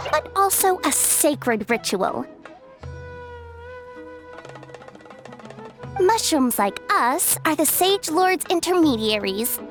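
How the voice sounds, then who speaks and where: A woman voicing a haughty mushroom creature that poses riddles in a video game, formal and condescending. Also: sits high. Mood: solemn, lecturing.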